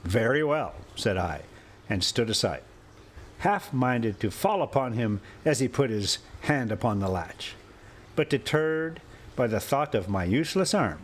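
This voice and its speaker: A man narrates a story at a very slow, deliberate pace. His voice is medium in pitch, and he reads as the host of an informal story time. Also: big pitch swings, a little hiss or hum. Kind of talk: audiobook-style story narration with casual asides.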